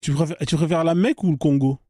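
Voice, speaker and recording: A man with a fairly high, mellow voice hosts a live debate and presses hard with the same questions again and again. The sound is dry and close. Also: fast, no room sound. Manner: pressing, repeats questions insistently.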